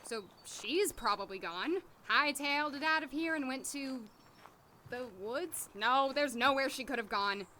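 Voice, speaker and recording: A woman's voice: high-pitched, measured pace, sharp tone, a little hiss or hum. A woman playing an anxious, sarcastic young doctor in an audio drama, flustered and given to talking to herself. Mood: wry, nervous muttering. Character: anxious, wry young woman doctor, new lab worker in town. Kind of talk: audio drama acting with inner monologue.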